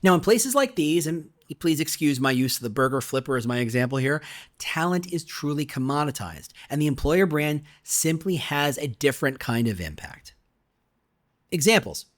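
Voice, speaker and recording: Man narrating with very lively, swinging pitch. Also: normal speed. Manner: rhetorical questions with emphatic, conversational asides. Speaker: author reading own audiobook. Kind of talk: conversational scripted nonfiction narration.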